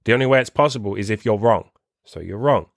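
A man's voice: fairly low pitch, fast, vocal fry, no room sound, clean studio sound. A man in his thirties hosting a dating podcast, talking bluntly and emphatically with lots of swearing in a solo motivational monologue. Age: 30s. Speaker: dating coach podcast host.

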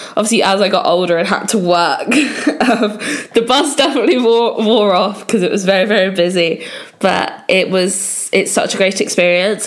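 A young woman from England hosting a solo podcast, her voice croaky from a cold, chatting along with lots of "kind of" and "um". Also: mid-range voice. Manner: chatty, many fillers like "kind of". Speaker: podcast host.

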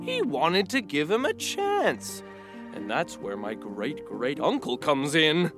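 A man's voice: fairly high pitch, slow, over music, exaggerated voice. A man playing a chatty, earnest talking donkey who tells stories in a folksy way in a children's audio drama. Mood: chatty, proud. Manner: animated, folksy storytelling.

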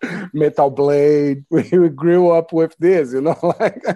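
A Brazilian man in his forties with a Brazilian Portuguese accent, chatting as a guest in an interview, halting and repeating words, laughing often. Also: a little high, warm tone. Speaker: band member, guest. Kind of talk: casual interview chat.

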